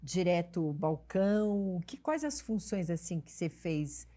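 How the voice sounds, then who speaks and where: A woman's voice: fairly low pitch, animated, unhurried, warm tone. Chatty woman hosting an interview show. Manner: informal, rambling, familiar address. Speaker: TV talk show host.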